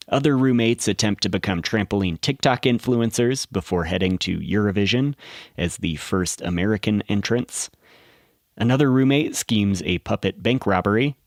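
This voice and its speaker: A man narrating a scripted documentary podcast in a measured, storytelling way. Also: lowish voice, no echo, clean studio sound.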